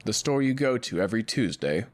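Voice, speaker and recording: Low-voiced, brooding, deadpan doctor, acted by a man. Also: fairly quick. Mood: dry, cutting. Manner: dry, terse, deadpan. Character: brooding male doctor, guarded, dryly cutting. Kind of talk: understated audio drama acting.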